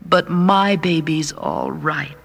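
A woman's voice: sits low, slow pace, creaky, breathy edge, soft background music, heavily acted. A woman voicing an affectionate, reassuring young mother in a radio story. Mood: insistent, reassuring. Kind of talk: radio story character acting.

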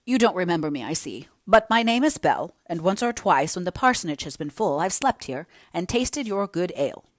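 A woman voicing a kind, fatherly older gentleman who speaks gently and courteously, read for an audiobook. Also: on the low side, sing-song, normal speed, no room sound, clean studio sound. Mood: kind steady. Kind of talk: audiobook character reading.

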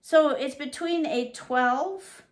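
A middle-aged woman from Canada chatting casually through her grocery haul in her home kitchen, reading prices aloud and thinking out loud. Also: sits high, slow pace. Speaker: vlogger. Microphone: fixed camera at table.

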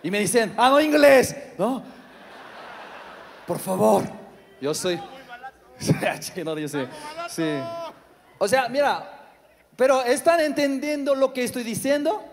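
A man from Korea speaking Spanish with a Korean accent as a non-native speaker, doing stand-up with halting phrasing, lots of fillers and sudden shouted outbursts. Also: very high-pitched, animated, a little hiss or hum. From South Korea. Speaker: stand-up comedian. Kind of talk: stand-up comedy set. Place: large theater stage. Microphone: handheld mic. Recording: live hall reverb with audience.

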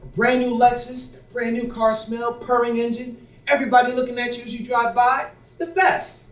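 A man's voice: very high voice, flat delivery, moderate pace. A young man on a small theater stage playing a shallow, smitten young man full of doubts, talking in an eager, earnest way. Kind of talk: live stage acting. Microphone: far room mic. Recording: roomy theater sound from far off.

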